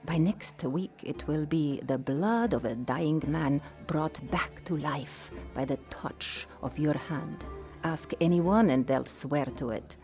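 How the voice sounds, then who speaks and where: An actress playing a gracious, playful Persian empress in an audio drama, with regal, wry, formal phrasing. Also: female voice, low-pitched, takes it slowly, a little mellow. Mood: wry, confiding. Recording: music under the voice.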